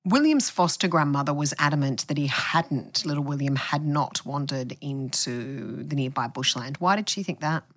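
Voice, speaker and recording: An Australian woman hosting a podcast, interviewing a journalist in a measured style. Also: low-pitched, expressive, fairly bright tone, no room sound, studio quality.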